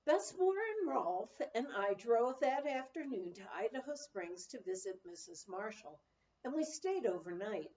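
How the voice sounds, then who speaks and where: A woman reading a memoir aloud as an expressive storyteller, with lively emphasis. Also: medium pitch, moderate pace, lo-fi sound. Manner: acts out dialogue with lively emphasis. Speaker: audiobook reader.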